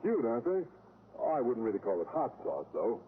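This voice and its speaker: Mild, naive teacher on scratchy radio. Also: male voice, mid-range voice, wildly swooping pitch, brisk. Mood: earnest, oblivious. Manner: earnest, oblivious, polite. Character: Mister Boynton, mild, naive, courteous male teacher. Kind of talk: old-time radio comedy acting. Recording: scratchy, tinny old radio recording.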